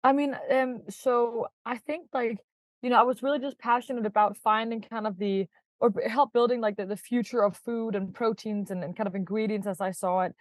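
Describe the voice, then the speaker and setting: A woman's voice: on the high side, steady tone, fairly quick, no room sound. A woman from Denmark, a startup founder, chatting as a podcast guest, laughing often and filling her talk with "like" and "kind of". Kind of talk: casual podcast interview.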